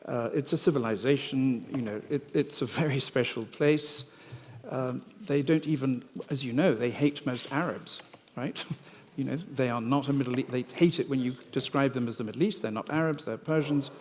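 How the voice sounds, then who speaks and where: A male moderator speaks briskly and chattily on a conference panel, laughing lightly between points. His voice sits at an ordinary pitch. The hall gives a long reverb. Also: a little mellow, a little hiss or hum.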